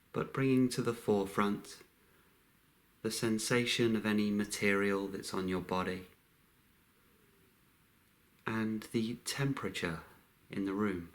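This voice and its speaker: A man leading a guided meditation in a calm, unhurried way, with long pauses between short phrases. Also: fairly low pitch.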